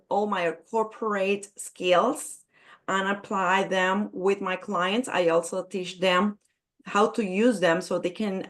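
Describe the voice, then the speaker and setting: Puerto Rican woman, Spanish accent. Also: mid-range voice. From Puerto Rico. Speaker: business coach. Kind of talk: networking introduction on a video call. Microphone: video call.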